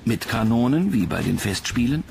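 Male narrator for scripted documentary, creaky mid-pitched voice, music underneath. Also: very animated, normal speed.

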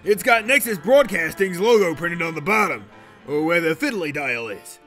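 A man playing an excited, proud police detective in an audio drama, blurting things out emphatically and informally. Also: fairly high pitch, normal speed, soft background music.

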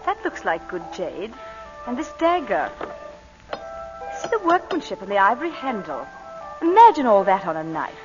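Lady doing a cool guarded woman in an old radio play, lively pitch, muffled broadcast sound. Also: moderate pace, long pauses, long reverb tail, music in the background, natural voice. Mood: curious. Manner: poised, cool, at times coy. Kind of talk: 1940s radio acting.

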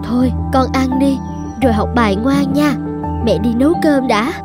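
High-voiced gentle young mother played by an actress. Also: normal speed, lightly acted. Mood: tender. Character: gentle, self-sacrificing young peasant mother and wife. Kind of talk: Vietnamese cartoon voice acting. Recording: loud background music. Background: music.

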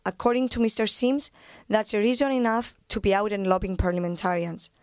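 A woman student reporter closing a news piece in an even, measured voice. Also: mid-range voice, no echo, studio quality. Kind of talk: news sign-off.

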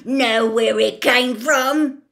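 A woman's voice: on the high side, fairly even intonation, drawn-out words, vocal fry, a bit bright, voice pushed up, heavily acted. A woman voicing a furious, bullying old male wizard in a storybook reading, bellowing and jeering with contempt. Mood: boastful, aggressive. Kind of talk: audiobook-style character reading.

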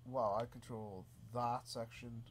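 A man, stuffy from a cold, casually commenting on his own gameplay with relaxed, easily amused remarks and mild swearing. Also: mid-range voice, a little slow, slight background noise. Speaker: gaming channel host. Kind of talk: casual let's play commentary.

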